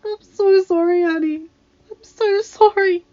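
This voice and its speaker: A woman voicing a tender, grieving young wife in an emotional, breathy, sobbing delivery for a story reading. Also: very high voice, steady tone, unhurried, dark tone, dull audio, pitched above the performer's own voice. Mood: sobbing, guilty.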